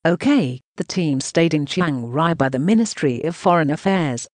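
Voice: medium pitch, lively intonation, normal speed, no echo. A synthetic text-to-speech voice reading translated news narration in a choppy way, speaking punctuation marks aloud.

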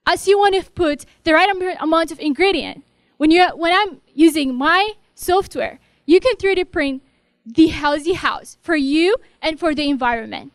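A young Ethiopian woman with an Ethiopian accent, giving a storytelling research pitch into a handheld mic in a large hall. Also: moderate pace. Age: young adult. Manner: storytelling, vivid and direct. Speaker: graduate student pitching research. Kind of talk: memorized elevator pitch. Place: large hall with glass walls, indoors. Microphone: handheld microphone.

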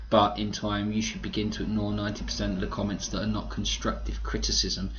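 A man hosting a podcast, chatting casually in a rambling, conversational way with wry asides. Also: on the low side. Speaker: podcast host. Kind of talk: casual podcast commentary, reading out listener comments. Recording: dull lo-fi audio with clear noise.

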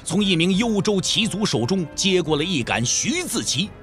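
A man's voice: high voice, very animated, normal speed, fairly bright tone, faint music behind. A man giving dramatic scripted narration for an audio drama.

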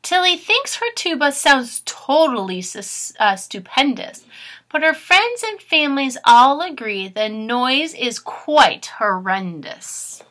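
A woman in her thirties with a slightly high, very bright voice. She talks as a teacher to children, clear in intent and expressive, as in a read-aloud lesson. She is recorded at arm's length in a room at home. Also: slow. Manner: expressive, instructive, addressing children directly. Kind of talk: storybook read-aloud with grammar explanations. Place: small furnished room at home.

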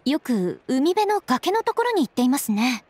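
A loyal, courteous young woman speaks in a high, breathy voice with lively intonation. Her manner is earnest and formal, in anime game style. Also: normal speed. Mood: thoughtful. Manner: courteous, formal polite speech. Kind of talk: Japanese anime game dub acting. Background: faint background music.

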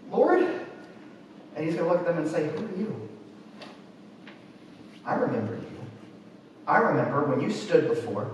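Young guy giving a sermon, really echoey church, mic kinda far off, bit of background noise. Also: fairly high pitch. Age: 20s. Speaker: preacher. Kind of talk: sermon with scripture readings. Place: church pulpit, indoors.

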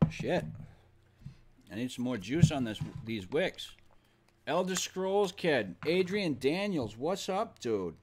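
A man's voice: on the high side, sing-song, slow, background noise. A man in his thirties, chatting casually to himself and his viewers while playing a board game at home. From Boston. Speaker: streamer. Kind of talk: casual game-stream chat. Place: small room at home.

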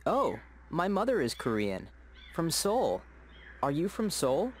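Coursebook audio: man as a curious young student, clear and lively, with faint background noise. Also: on the high side, slow pace, exaggerated voice. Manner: clear, carefully spoken textbook dialogue. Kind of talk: scripted coursebook audio dialogue.

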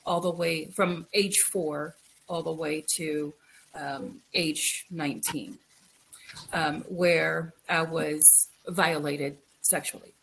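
A middle-aged woman from the Philippines giving a candid, reflective personal account as an interview guest, halting and repeating phrases for emphasis. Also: low-pitched, fairly even intonation, gravelly, fairly bright tone. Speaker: guest, author. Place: indoor room, video call. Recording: noisy video call.

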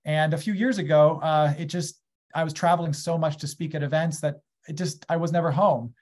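An enthusiastic man in his thirties with an American accent talks through a webcam from a small room at home. His voice sits a little high for a man, and he keeps an eager, gesturing energy as he explains his work to a webinar audience. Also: fairly even intonation, a little fast, a little mellow. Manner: enthusiastic, gestures a lot while speaking. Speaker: guest speaker, agriculture company founder. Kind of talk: conversational webinar talk. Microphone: webcam on a video call.

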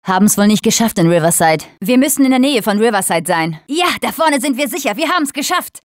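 A woman's voice: a little high, very animated, a little fast, no room sound, studio quality. An actress voicing a tough, wry young female survivor of a zombie apocalypse in short video game callouts. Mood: determined, wry.

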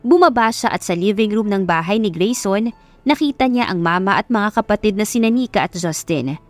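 A woman's voice: mid-range voice, expressive, fairly quick, faint music behind. A woman narrator reading a scripted love story in a dramatic audiobook style.

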